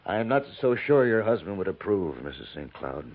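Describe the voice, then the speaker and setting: An actor playing a brooding, guilt-ridden cavalry lieutenant, weary and halting, in 1950s radio drama style. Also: male voice, deep voice, big pitch swings, exaggerated voice. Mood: guarded, weary. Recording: tinny narrow-band 1950s radio recording.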